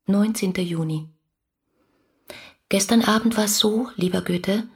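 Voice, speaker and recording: An actress playing an ardent, lovestruck young woman who speaks her letters lyrically and fervently in a radio drama, with a husky voice. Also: mid-range voice, heavily acted. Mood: wistful. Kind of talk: literary radio drama monologue.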